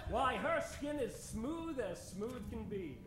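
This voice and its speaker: An actor playing an eager, fearless doctor adventurer in old-time radio style, with theatrical, old-fashioned diction. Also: male voice, extremely high pitch, lively intonation, slow, heavy background noise, exaggerated voice. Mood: genial, curious. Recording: roomy stage recording, music underneath.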